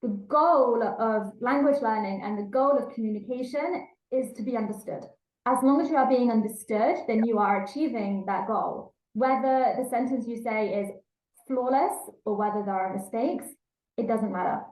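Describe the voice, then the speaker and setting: A woman's voice: fairly high pitch, moderate pace, darkish tone, echoey room, low-quality recording. A woman with an English accent giving a language learning talk, explaining earnestly and repeating key points for emphasis. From England. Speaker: language teacher.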